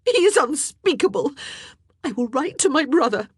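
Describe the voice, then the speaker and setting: A woman's voice: sits high, measured pace, dark tone, breathy edge, no echo, studio quality, pitched above the performer's own voice. A woman voicing a proud, distraught young queen who pleads and cries out in indignation. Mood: furious.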